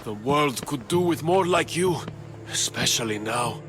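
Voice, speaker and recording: Bright-voiced flustered Athenian politician. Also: male voice, medium pitch, animated, measured pace, faint music behind. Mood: grateful. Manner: earnest, indignant. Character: indignant, earnest male Athenian politician, easily flustered. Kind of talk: video game dialogue acting.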